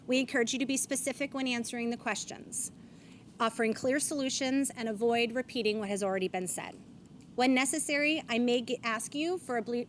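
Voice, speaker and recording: A woman's voice: a little high, a little hiss or hum. A woman, moderating a candidates forum on stage and reading rules and statements aloud. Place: event stage.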